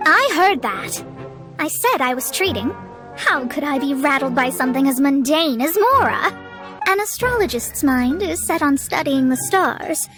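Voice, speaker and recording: A woman's voice: high voice, animated, moderate pace, a bit bright, soft background music. A woman playing a proud, pompous young female astrologist in a video game, speaking in formal, theatrical phrases. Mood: haughty. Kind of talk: scripted video game acting.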